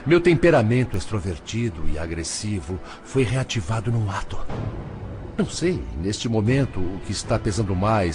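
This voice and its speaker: A man's voice: lowish voice, a little mellow, natural voice. Guy playing an anguished old-soul grandpa spirit in an audio novel, emotional, confessing, voice up and down a lot, faint music behind. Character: anguished grandfather spirit, hot-tempered, repentant, pleading. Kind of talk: dramatised audio novel acting.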